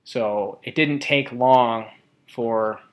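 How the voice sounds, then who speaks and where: Male presenter, mid-pitched voice, informal and colloquial, halting delivery as he talks through slides.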